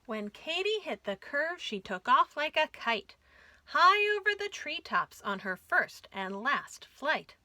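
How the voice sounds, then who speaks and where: Bright-voiced woman reading a children's story. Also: high-pitched, big pitch swings, takes it slowly. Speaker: children's storytime reader at a library. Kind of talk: expressive read-aloud of a rhyming picture book.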